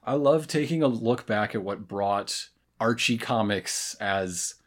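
A man's voice: on the low side, takes it slowly. Wry, rambling man chatting casually. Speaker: podcast host. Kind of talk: casual chat.

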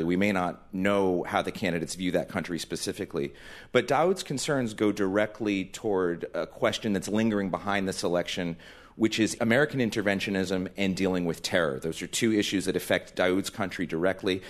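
A man with a low-pitched voice hosts a panel discussion at a live event. He speaks as a moderator, introducing guests and putting questions to them. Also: a little fast. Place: live event space before an audience.

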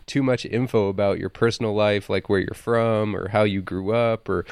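An eager man hosts a podcast, chatty and casual, with a lowish voice. The recording is dry and close, with no room sound. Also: steady tone, normal speed, vocal fry, no room sound. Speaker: podcast host.

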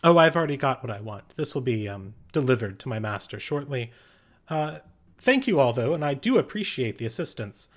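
A calm, sly alien agent, as a man puts him on, polite on the surface with quiet menace underneath. His voice sits in an ordinary middle register, unhurried and smooth. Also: mid-range voice.

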